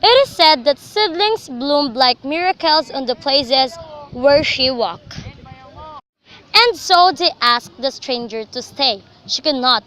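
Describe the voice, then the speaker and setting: A young woman narrates a radio drama. Her voice sits high and has a bright, forward edge, and she delivers the scripted story as a storyteller. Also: expressive, slow, low-quality recording. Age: young adult.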